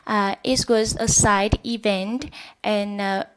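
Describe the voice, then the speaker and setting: A woman from Vietnam speaks at a workshop in a clear, smooth voice. Her pace is very slow and hesitant, as she searches for English words with a Vietnamese accent. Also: medium pitch, fairly even intonation. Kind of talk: public presentation.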